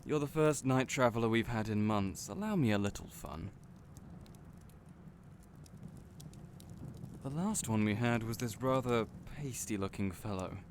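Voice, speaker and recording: Guy acting as a friendly young fantasy innkeeper, lowish voice, chatty n teasing, storm and fire noise behind him. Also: moderate pace, lightly acted. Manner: chatty, playful, genial storyteller. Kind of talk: immersive audio roleplay, addressing the listener. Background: storm and crackling fire ambience.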